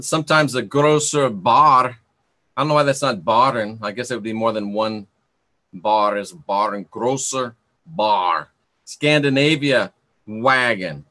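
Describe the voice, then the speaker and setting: A man's voice: mid-range voice, sharp tone. A man hosting an informal live talk, musing aloud to viewers in a rambling, opinionated way and repeating points for emphasis. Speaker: host of an esoteric talk livestream.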